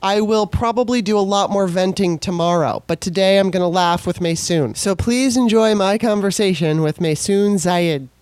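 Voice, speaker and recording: A woman's voice: mid-range voice, normal speed, few pauses, darkish tone, clean tone, no echo. A woman hosting a podcast, chatting casually, rambling a little with many fillers and laughing easily. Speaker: podcast host. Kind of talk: casual interview chat.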